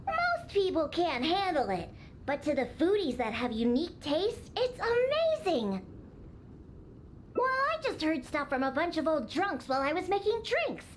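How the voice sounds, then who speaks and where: A woman's voice: very high voice, sing-song, unhurried, a little hiss or hum, heavily acted. A woman voicing a grumpy young catgirl bartender in a video game, huffy, haughty and sulky. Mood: proud, defensive. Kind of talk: animated game voice acting. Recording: music behind the voice.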